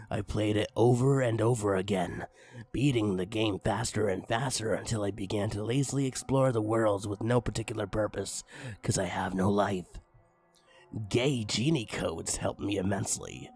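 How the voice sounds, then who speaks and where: A man's voice: on the low side, darkish tone, breathy edge. A man narrating a comedic story aloud in a theatrical, mock-serious way, with sudden emphatic swings. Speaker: narrator reading a parody creepypasta story aloud. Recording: music bed under the voice. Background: background music.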